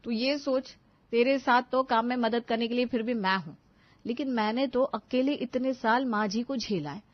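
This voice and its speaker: Casting: woman playing put-upon elder daughter-in-law, fairly high voice, wry and reproachful, cartoon drama, muffled sound. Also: normal speed, natural voice. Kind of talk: animated family story acting.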